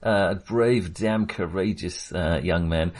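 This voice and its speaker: Man, lowish voice, podcast co-host, casual film discussion, hesitant and wry delivery. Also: slow pace, a little mellow.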